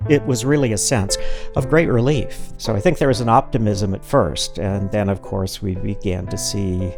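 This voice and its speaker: A man, a journalist, recalling past events in an unhurried interview. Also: on the low side, steady tone, creaky, music in the background.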